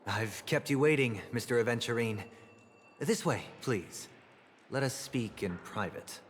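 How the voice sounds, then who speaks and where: An actor playing a composed, authoritative male leader in a video game, speaking with measured, diplomatic politeness. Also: mid-range voice, lively intonation, a little hiss or hum, close to an everyday voice. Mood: polite authority. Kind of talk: dubbed video game dialogue. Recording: game audio over music.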